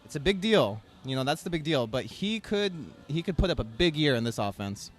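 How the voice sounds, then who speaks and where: Fast-talking man analyzing football. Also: fairly high pitch, very animated, soft background music. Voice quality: hesitant, full of fillers. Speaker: guest analyst. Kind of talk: casual sports chat.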